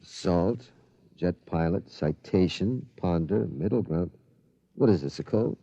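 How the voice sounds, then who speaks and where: A man's voice: low voice, a little slow, mellow, a little hiss or hum. An actor playing a cautious middle-aged father in 1950s radio drama, hesitant and incredulous. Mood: doubtful, wondering. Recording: dull vintage radio recording.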